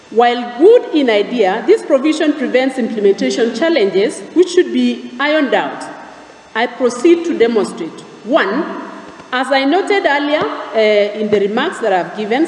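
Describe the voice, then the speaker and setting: A woman with a Kenyan accent delivers a formal prepared speech at a government forum, in a measured manner, setting out her points in order. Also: a little high, darkish tone. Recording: distant, echoey hall with background noise.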